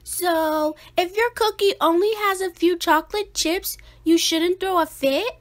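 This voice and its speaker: A woman's voice: extremely high pitch, measured pace, pitched above the performer's own voice. A woman voicing a whiny, insistent little squirrel sister in a children's storybook. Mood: challenging.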